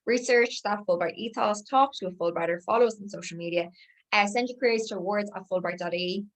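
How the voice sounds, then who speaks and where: A woman, a senior awards manager, giving a brisk and informative webinar presentation. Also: mid-range voice, rough recording.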